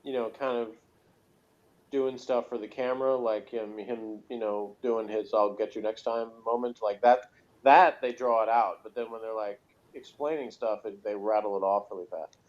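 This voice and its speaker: A man's voice: lowish voice, steady tone, moderate pace. Guy just chatting about a show, kinda dull lo-fi audio. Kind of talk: casual chat. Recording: dull, muffled lo-fi sound.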